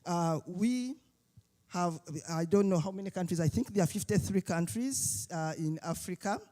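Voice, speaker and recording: A speaker from Uganda, giving a halting panel talk with many fillers and restarts. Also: mid-range voice, warm tone. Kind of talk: summit panel speech.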